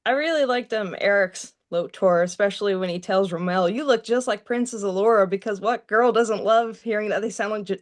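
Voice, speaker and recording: A woman's voice: mid-range voice, a little fast, hardly any pauses. A woman chatting casually and informally as a podcast guest, dropping "like" into her sentences. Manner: informal, frequent use of "like". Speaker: podcast guest, radio play cast member. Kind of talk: casual chat.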